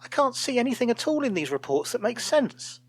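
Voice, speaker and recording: A man's voice: extremely high pitch, big pitch swings, fairly quick, breathy edge, voice pushed up, full character voice. A man voicing a flustered old woman, fretful and eager to please, in a storybook tale. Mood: anxious, bewildered. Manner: fretful, then gushing. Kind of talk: storybook character voice.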